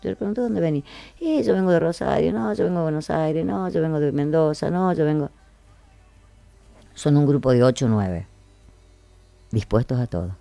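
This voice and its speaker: An Argentine woman hosts a casual radio show in a colloquial, chatty way. Her pitch moves in lively swings and she repeats phrases to drive points home. The sound is close and dry, with some music behind her. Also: normal speed, creaky, long pauses, dry recording. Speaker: radio host. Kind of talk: casual morning radio chat.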